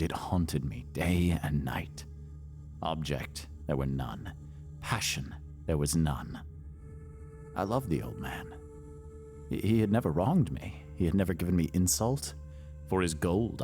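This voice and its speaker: A man playing a nervous, boastful madman confessing a murder in a theatrical Gothic horror monologue, melodramatic and swinging between hushed and shrill delivery. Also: exaggerated voice. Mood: brooding, chilling.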